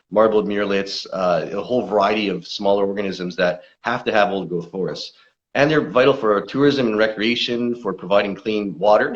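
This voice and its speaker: A man with a lowish voice, giving steady, informative answers as a forest conservation campaigner. His talk carries frequent "um"s and "uh"s, and the recording sounds dull and lo-fi. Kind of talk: explanatory interview answers.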